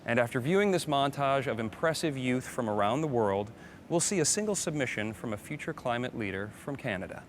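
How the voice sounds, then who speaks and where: This is a man hosting a live broadcast, presenting from a script. His voice sits in an ordinary middle pitch, and his delivery is upbeat and aimed straight at the audience. A faint background hiss runs under his voice. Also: expressive, a little fast. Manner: upbeat, talks straight to viewers. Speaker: host of a live climate broadcast.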